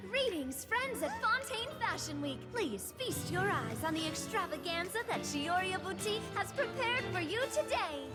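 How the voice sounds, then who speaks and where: A woman voicing a cute, eager, girlish cat-spirit helper in a video game, chirpy and excitable. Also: very high-pitched, moderate pace, hardly any pauses. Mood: showy excitement. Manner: chirpy, excitable, showy announcing. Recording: loud music behind the voice.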